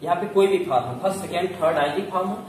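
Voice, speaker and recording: A man's voice: high voice, racing, a little mellow. A man teaching a grammar lesson step by step, asking questions, answering them himself and repeating key points. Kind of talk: classroom lesson, explaining step by step. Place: echoey room. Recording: very echoey room, distant mic, faint noise.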